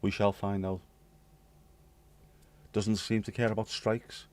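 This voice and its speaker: A hoarse man with a British accent, chatting casually with viewers on his livestream and swearing bluntly. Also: lowish voice, little pitch movement, slow, a little mellow. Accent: British English. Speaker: livestream host. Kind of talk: casual chat reading viewer comments.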